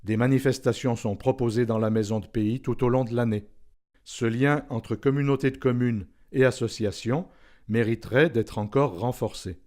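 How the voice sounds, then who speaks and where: A man's voice: medium pitch, fairly even intonation, normal speed, darkish tone. Male documentary narrator, mid-range voice, formal scripted read for an institutional film.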